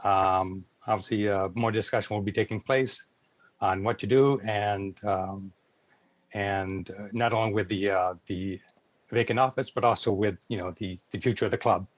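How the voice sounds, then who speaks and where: An American man moderating an informal club meeting on a video call, making announcements in a hesitant, halting way. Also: on the low side, fairly even intonation, no echo. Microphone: video call microphone. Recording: muffled video call audio.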